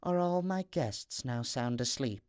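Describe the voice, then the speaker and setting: A man doing a soft-spoken ghostly duck queen. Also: medium pitch, animated, measured pace, no echo, studio quality, natural voice. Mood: wistful. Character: ghostly duck, the drowned queen, softly asking.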